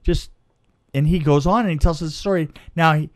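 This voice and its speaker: A middle-aged man telling a casual anecdote in an emphatic, halting way, repeating phrases and checking in with the listener. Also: on the high side. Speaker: podcast guest, film crew veteran.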